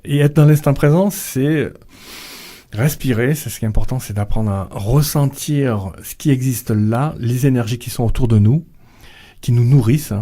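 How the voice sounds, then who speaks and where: A middle-aged man guest on a radio interview, talking slowly and hesitantly with many fillers and restarts. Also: medium pitch, warm tone. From Vietnamese family background. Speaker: author and guest.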